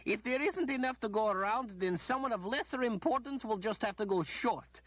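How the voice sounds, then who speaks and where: A man voicing a haughty, shrill noblewoman who shrieks and whines petulantly. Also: moderate pace, fairly bright tone, no echo, dull audio. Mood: imperious.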